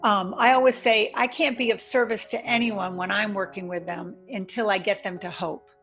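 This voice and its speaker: A woman's voice: medium pitch, fairly even intonation, moderate pace, soft background music. Encouraging woman interviewer. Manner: warm, encouraging, affirming. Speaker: podcast host and interviewer. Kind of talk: interview, casual chat.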